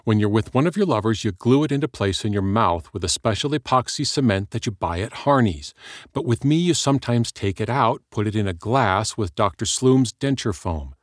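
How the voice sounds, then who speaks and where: A man's voice: fairly low pitch, animated, brisk, dry recording, studio quality, pitched above the performer's own voice, close to an everyday voice. A man doing an insistent, pushy caller. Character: persistent, intense man, obsessive caller.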